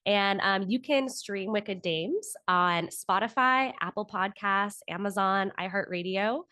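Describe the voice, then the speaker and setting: A woman's voice: mid-range voice, unhurried, pushed and bright, no echo, clean studio sound. A woman in her thirties with an American accent, giving conversational answers as an interview guest. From Florida, United States. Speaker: podcast guest, author and actor.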